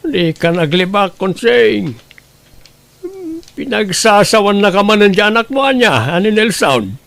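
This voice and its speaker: A man's voice: high-pitched, expressive, measured pace, vocal fry, fairly bright tone, no room sound, heavily acted. A man playing a bitter, blaming grown son in an audio drama, complaining in an accusing way with an Ilocano accent.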